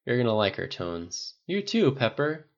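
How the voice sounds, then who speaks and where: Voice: medium pitch, very animated, moderate pace, highs cut off, pitched above the performer's own voice. An audiobook narrator voicing a blunt, loyal military man with an emphatic, indignant, sweary way of talking. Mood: earnest, tipsy.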